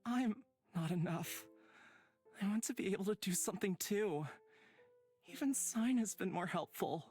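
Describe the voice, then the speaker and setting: A man's voice: high voice, animated, normal speed, darkish tone, breathy edge, faint music behind. A man voicing a devoted, insecure young companion in a visual novel, earnest, wistful and self-doubting. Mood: wistful, pleading.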